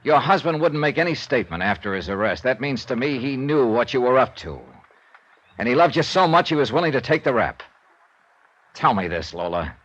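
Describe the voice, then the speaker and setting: An actor playing a tough, wry insurance investigator in a 1950s radio detective drama, with clipped delivery. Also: male voice, mid-range voice, expressive, brisk, a bit bright. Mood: wry, hard-boiled. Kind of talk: 1950s radio detective acting and first-person narration. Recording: dull vintage radio recording with music.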